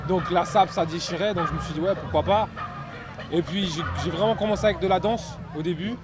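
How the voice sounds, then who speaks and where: A young man from Haiti, interviewed outdoors in a park, talking in a relaxed, rambling way with many fillers. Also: sits high, fairly quick, a crowd in the background. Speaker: interviewee, beatboxer and MC. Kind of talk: casual interview chat.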